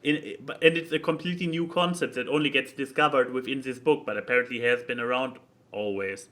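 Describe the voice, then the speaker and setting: A man's voice: medium pitch, fairly quick, a bit bright. A man chatting casually about a book, rambling and repeating words as he thinks aloud. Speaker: podcast speaker reviewing a book. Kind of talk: casual opinionated chat.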